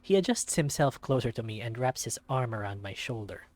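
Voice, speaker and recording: A man reading a visual novel aloud as an expressive narrator. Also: medium pitch, a little fast, dry recording.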